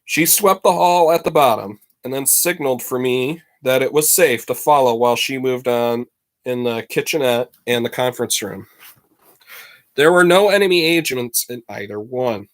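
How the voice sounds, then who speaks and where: A man reading a story aloud to students as a teacher, with expressive, dramatic phrasing and deliberate emphasis. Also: mid-range voice.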